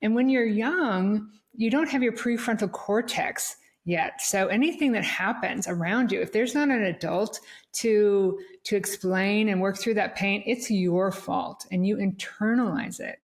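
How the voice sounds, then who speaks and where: A woman's voice: medium pitch, measured pace. Chatty woman hosting a podcast. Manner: chatty, repeats words while searching for them. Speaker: podcast host.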